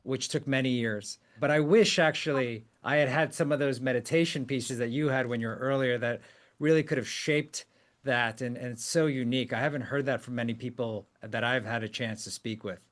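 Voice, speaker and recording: A man's voice: medium pitch, brisk. A man hosting an interview, chatting in an affirming way with frequent "hmm"s and "wow"s. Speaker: podcast host, company CEO. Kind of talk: conversational interview.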